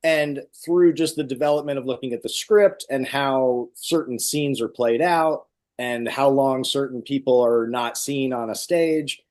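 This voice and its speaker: A man speaks as a guest in a relaxed interview, at a middling pitch. He explains his points conversationally, like a stage actor talking shop. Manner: explanatory, illustrating with acted-out quotes. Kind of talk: casual interview talk.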